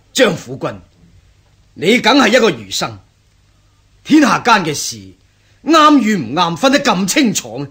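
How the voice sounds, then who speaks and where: Husky, high-pitched bandit chief. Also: male voice, pushed and bright, full character voice. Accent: Hong Kong Cantonese. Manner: indignant, scoffing, rhetorical. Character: defiant, bitter male bandit chief with grievances. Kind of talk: radio drama acting.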